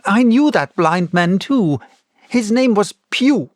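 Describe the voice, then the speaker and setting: Man as a sharp, earnest lad in a storybook adventure, pitched up, eager delivery. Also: animated, slow, dry recording, clean studio sound. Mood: insistent. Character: eager young boy narrator, earnest and sharp. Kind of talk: audiobook character dialogue.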